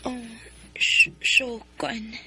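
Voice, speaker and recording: A woman's voice: fairly high pitch, wildly swooping pitch, extremely slow, pushed and bright, exaggerated voice. A woman playing a young woman who answers a tomboy suitor shyly and hesitantly in a radio drama. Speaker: storyteller narrator.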